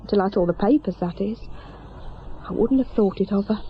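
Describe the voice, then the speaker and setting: A woman's voice: mid-range voice, brisk, highs cut off, slight background noise, close to an everyday voice. An actress playing a chatty, sympathetic British woman shopkeeper, speaking in a confiding, gossipy way. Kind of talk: naturalistic radio drama acting.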